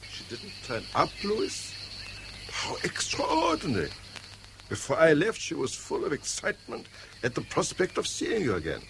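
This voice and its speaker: An actor in a vintage British radio drama playing a suave, evasive wealthy man, urbane and smoothly polite. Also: a little high, wildly swooping pitch, normal speed, exaggerated voice. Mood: feigned surprise. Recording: faintly hissy vintage radio drama recording.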